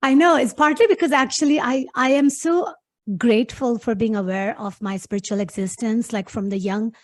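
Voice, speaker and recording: Earnest woman, fairly high voice. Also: steady tone, moderate pace. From Pakistan. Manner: earnest, laughs easily between thoughts. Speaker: guest. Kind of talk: casual interview talk.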